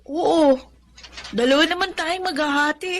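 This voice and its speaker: An actress playing a polite, caring young daughter in a Filipino radio drama. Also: female voice, sits high, a little slow, slight background noise. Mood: hopeful, tender.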